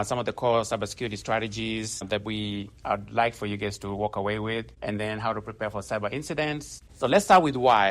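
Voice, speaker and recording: A man's voice: fairly low pitch, fairly bright tone. A man in his forties, originally from Kenya, giving a conversational conference talk from the stage, often restarting phrases and using fillers. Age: 40s. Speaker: conference speaker. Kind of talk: conference presentation.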